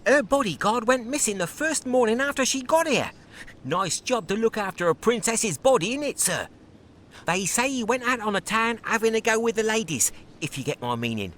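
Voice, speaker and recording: A cheeky Cockney newsboy for a video game. The voice is extremely high and lively, chirpy and gossipy, with faint street noise behind it. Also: male voice, moderate pace. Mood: cheeky, eager. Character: cheeky young street newsboy, eager for coins. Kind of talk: dramatic video game voice acting.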